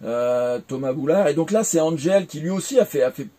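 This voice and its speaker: A man's voice: on the high side, lively intonation, brisk, mellow. A French man in his forties, recounting his career in a casual interview, hesitant with many fillers and restarts. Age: 40s. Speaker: interviewee, former game developer.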